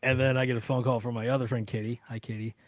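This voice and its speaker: A man, roughly in his thirties or forties, telling anecdotes in casual, slangy, animated chat. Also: medium pitch, fast talker, no echo. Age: 30s or 40s. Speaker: radio interviewer on a podcast panel.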